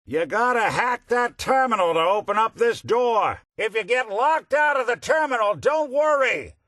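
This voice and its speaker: A man's voice: low-pitched, lively intonation, unhurried, no room sound, clean studio sound. A male actor playing a weary old android detective in a video game, talking in wry, slangy, hard-boiled noir style. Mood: urgent, raised.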